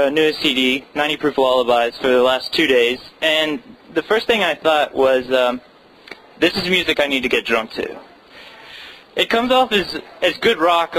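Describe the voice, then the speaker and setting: A man's voice: fairly high pitch, bright, forceful tone, a little hiss or hum, rough recording. A man hosting an interview, asking prepared questions in a slightly formal way.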